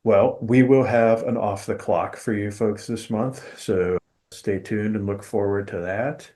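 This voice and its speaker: A man hosting a podcast in casual conversation, articulate and measured, with slightly formal phrasing. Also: on the low side, steady tone, dark tone. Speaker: podcast host.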